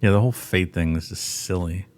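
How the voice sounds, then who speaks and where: A man's voice: deep voice, brisk, darkish tone. A man co-hosting a podcast, chatting casually in a laid-back, wry way. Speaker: podcast co-host. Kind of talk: casual chat.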